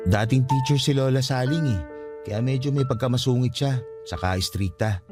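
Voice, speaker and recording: Casting: male actor as a calm, respectful young Filipino caretaker, mid-pitched, polite radio drama delivery over soft music. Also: moderate pace, close to an everyday voice. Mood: reflective.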